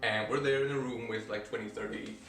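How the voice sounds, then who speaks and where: Casual vlogger guy, mid-pitch and easygoing, roomy echo, mic a bit far off. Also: soft background music. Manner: chatty, animated, doing quick impressions of others. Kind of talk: casual storytelling vlog.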